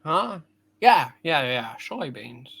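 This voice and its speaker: A woman's voice: low voice, sing-song, gravelly, a bit bright, breathy edge, heavily acted. A woman playing a flustered, stubborn young man who stammers, in a hammed-up amateur radio play reading. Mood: hesitant, defensive. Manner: stammering, halting, blustery.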